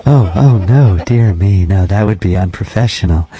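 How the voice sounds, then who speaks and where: Man putting on a soft, creepy, low-voiced gym janitor. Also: moderate pace, lo-fi sound, natural voice. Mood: soft, oddly polite. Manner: polite, hesitant, unsettlingly earnest. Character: soft-spoken, creepily friendly male gym night janitor.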